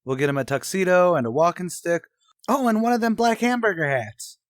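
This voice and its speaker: A high-voiced, scheming tavern manager played by a man for an old-time radio comedy. He is self-important and blustery, tossing out slangy wisecracks with mangled grammar. The sound is dry, with no room around it. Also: expressive, fairly quick, no echo. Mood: bossy, mock-pompous. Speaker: actor in a comedy radio play.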